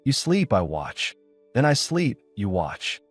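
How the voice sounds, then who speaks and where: A man voicing a calm, protective male rescuer in a sci-fi audiobook, with steady, clipped, matter-of-fact delivery. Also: mid-range voice, slow, no room sound, soft background music. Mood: calm, firm. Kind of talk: audiobook character dialogue.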